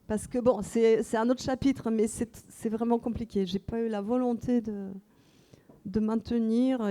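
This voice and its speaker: A woman's voice: medium pitch, creaky, warm tone. A woman whose first language is Russian, speaking as a guest author at a festival, reflective and hesitant with many fillers. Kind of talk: unscripted festival talk. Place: festival meeting room with audience.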